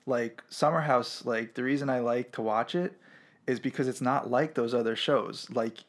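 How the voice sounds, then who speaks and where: A young man co-hosting a podcast in casual chat, eager and quick to jump in. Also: medium pitch, steady tone. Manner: eager, jumps in and talks over. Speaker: podcast co-host. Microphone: podcast microphone.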